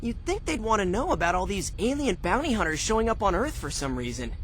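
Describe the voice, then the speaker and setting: An actor playing a cocky, flippant teenage boy hero in an animated video game, breezy and boastful, with music under the voice. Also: high voice, fast, a little hiss or hum. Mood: irritated.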